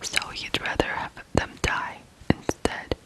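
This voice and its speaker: Slow whispering ASMR storyteller from Ukraine. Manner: soft, deliberate, pausing between short phrases. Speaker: ASMR true-crime host.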